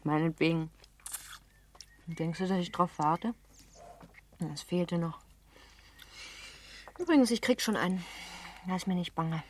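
Woman playing a headstrong teenage girl, lowish voice. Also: a little slow, long pauses, slight background noise, natural voice. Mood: teasing. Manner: chatty, teasing, self-assured. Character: lively, headstrong, playful girl of seventeen, well-born. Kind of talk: German radio play acting.

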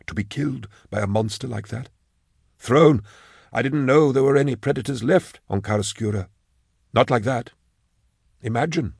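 A man voicing a slender, formal undertaker in an audiobook, measured, deferential and mournful. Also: mid-range voice, creaky, a little mellow, slightly rough, no echo, studio quality, voice pushed up.

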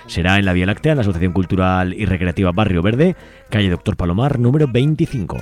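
A man's voice: low-pitched, fast. A man from Spain, reading out events as a radio co-host in an informal, wry, casual way.

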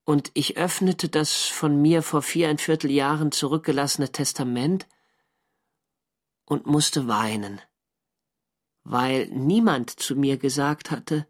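An actor playing a reflective naval lieutenant, narrating a war diary in a measured, sober literary style. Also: male voice, a little high. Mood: melancholy, sober. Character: reflective German naval lieutenant recalling the war's end.

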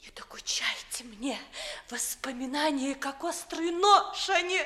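An actress playing a proud, wounded young gentlewoman in a 1950s theatrical radio play in verse, declaiming with breathy outbursts. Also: sits high, expressive, measured pace, full character voice. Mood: indignant, cold.

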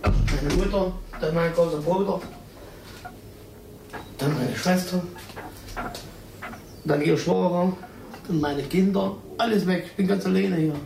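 A man's voice: sits high, takes it slowly, creaky, long pauses. An agitated middle-aged man in a bitter personal outburst, cursing and repeating himself. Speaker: father recounting his family's loss. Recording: distant, echoey, noisy location recording.

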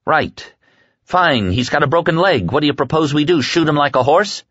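A man's voice: mid-range voice, very animated, normal speed, fairly bright tone, husky, lo-fi sound, pitched above the performer's own voice. A man voicing an anguished, hot-tempered man in an audiobook, protesting with indignant emphasis as he refuses to abandon a friend. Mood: frantic protest.

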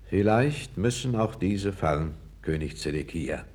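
An actor playing a calm, candid foreign court councillor, speaking with measured, deferential gravity in a 1960s radio play. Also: male voice, low-pitched. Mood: earnest, urging. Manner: measured, grave, deferential yet frank.

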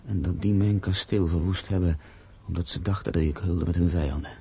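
Deep, husky, grieving castle owner. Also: male voice, a little fast, warm tone, heavily acted. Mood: bitter, sorrowful. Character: proud, grieving castle owner, wistful and conciliatory. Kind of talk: Dutch radio drama acting.

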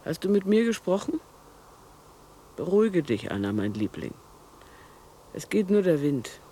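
A woman's voice: fairly low pitch, big pitch swings, unhurried, mellow, a little hiss or hum. An actress playing a placid, fatalistic, hard-of-hearing mother in a radio drama, musing and soothing in a repetitive way. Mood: soothing, resigned.

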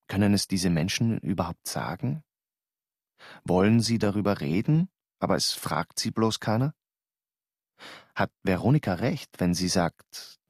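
An actor narrating in the first person as a young actor, a thoughtful, questioning voice in a radio drama. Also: male voice, fairly low pitch, no echo, studio quality. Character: Georg, young Austrian actor, reflective narrator.

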